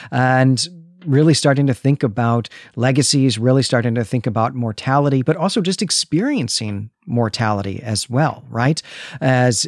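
A man's voice: mid-range voice, a little mellow, no room sound, studio quality. A middle-aged man co-hosting a podcast, explaining his thoughts conversationally and reflectively, often checking for agreement. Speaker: podcast co-host. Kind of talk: conversational analysis.

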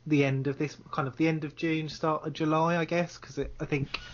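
Male podcast co-host, slightly high voice, hesitant conversational delivery, dull lo-fi audio. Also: little pitch movement, brisk. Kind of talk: casual chat.

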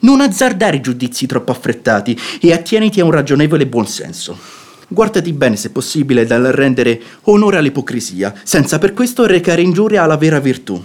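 An actor playing a sensible relative in a radio drama, lecturing emphatically and counselling moderation. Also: male voice, a little high, very animated, a little fast, darkish tone. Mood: exasperated, lecturing. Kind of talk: theatrical radio drama acting.

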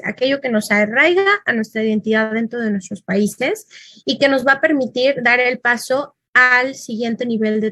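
High-voiced Mexican woman lecturing. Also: a bit bright, no echo. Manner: conversational, thinking aloud with fillers. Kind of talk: informal lecture.

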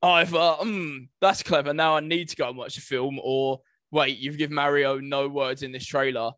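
A man's voice: mid-range voice, steady tone, moderate pace, a bit bright, dry recording, clean studio sound. A man talking casually as a co-host on a podcast. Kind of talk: casual chat.

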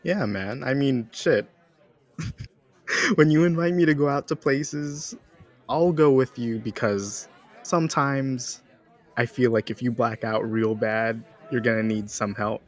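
A man's voice: medium pitch, a little slow. A young man co-hosting a casual podcast chat, laid-back, swearing freely and laughing often. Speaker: podcast co-host.

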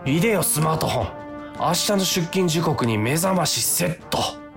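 An actor playing a weary, easily tempted office worker who narrates a comic radio drama with grumbling, self-deprecating drama. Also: male voice, a little high, expressive, normal speed, music in the background. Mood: flustered resolve.

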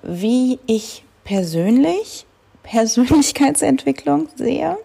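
Woman in her late 30s hosting a solo podcast, slow and chatty, lots of ums, warm low-toned voice with some fry. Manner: frequent fillers, relaxed and chatty. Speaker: podcast host. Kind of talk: casual solo podcast talk.

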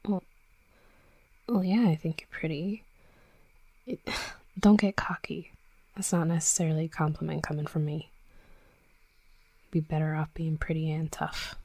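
A woman's voice: low voice, fairly even intonation, a little slow, pauses often, darkish tone, dry recording. A woman voicing a tough young female werewolf bully in an intimate roleplay audio drama, brash and sweary but grudgingly tender. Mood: softened, reluctant.

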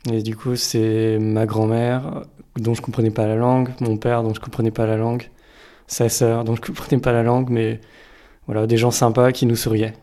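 A man being interviewed, talking slowly and wistfully about his childhood. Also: mid-range voice, level tone, mellow. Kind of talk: personal testimony.

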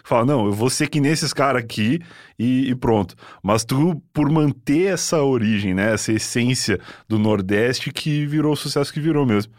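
A man's voice: mid-range voice, moderate pace, no room sound, clean studio sound. A man hosting an interview, asking questions and cheering answers with short enthusiastic affirmations. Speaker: interviewer host.

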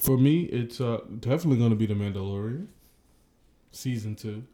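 A man co-hosting a casual podcast, joking and relaxed, with lots of filler words. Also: mid-range voice, brisk. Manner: joking, laid-back, lots of "uh". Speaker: podcast co-host. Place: indoor room, across from co-host. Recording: echoey room.

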